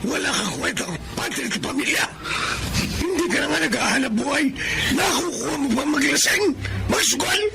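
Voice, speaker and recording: Shrill, high-voiced scolding older mother. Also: lively intonation, normal speed, gravelly. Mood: furious, scolding. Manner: scolding, shouting. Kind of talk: Filipino radio drama acting. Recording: loud music under the voice.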